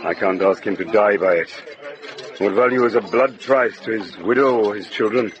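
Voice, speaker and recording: Actor as a grave, low-voiced medieval lord. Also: male voice, lo-fi sound, a crowd in the background, close to an everyday voice. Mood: grave, measured. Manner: formal, deliberate. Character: grave, dignified male lord, stern but fair. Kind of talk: radio drama acting. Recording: noisy ambience behind dialogue.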